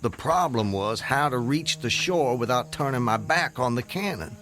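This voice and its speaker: Radio drama casting: dazed man on the run male actor, mid-pitched, dramatic halting old-fashioned storyteller, clear music bed. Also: expressive, a bit bright, close to an everyday voice. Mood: earnest recounting. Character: dazed fugitive man recounting his narrow escape from soldiers.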